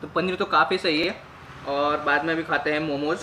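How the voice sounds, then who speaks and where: Upbeat young man with a high voice. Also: moderate pace, a little hiss or hum, rough recording. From Maharashtra, India. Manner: chatty, loud and upbeat to camera outdoors, quieter and relaxed while eating. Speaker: food vlogger. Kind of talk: casual food review vlog. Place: outdoor street beside a bus, then inside a bus restaurant. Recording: background music under the voice.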